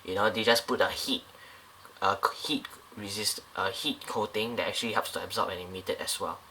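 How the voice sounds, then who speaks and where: A man's voice: lowish voice, steady tone, brisk, bright, forceful tone, a little hiss or hum, poor audio. A man casually reviewing a scale model airliner up close, talking in a loose, rambling way with many fillers and restarts.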